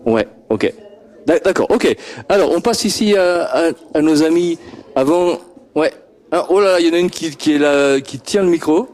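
A man's voice: fairly high pitch, animated, normal speed, a little mellow. A man interviewing children at a homework-help group on a handheld mic. He stammers, repeats words and chuckles often. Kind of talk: friendly questioning of children. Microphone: handheld microphone passed around.

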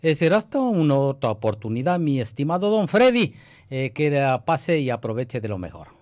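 A man's voice: medium pitch, sing-song, moderate pace, darkish tone, dry recording, studio quality. A man hosting a radio show, joking and teasing in a courteous, formal way. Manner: jovial, teasing, formally polite. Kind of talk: casual radio chat.

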